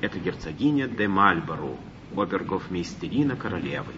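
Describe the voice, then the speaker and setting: Male narrator, scripted scene-setting, lowish pitch, muffled old theatre broadcast. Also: sing-song, a little fast. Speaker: narrator of a stage play broadcast. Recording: muffled, noisy 1950s radio theatre broadcast.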